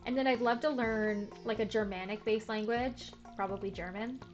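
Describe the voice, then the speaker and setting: A woman's voice: on the high side, steady tone, normal speed, a little mellow. A young woman, chatting in a rambling, filler-heavy, self-deprecating way while playing a game. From Canada. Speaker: gaming video host. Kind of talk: casual chat while playing a farming game. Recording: slightly muffled with faint game music.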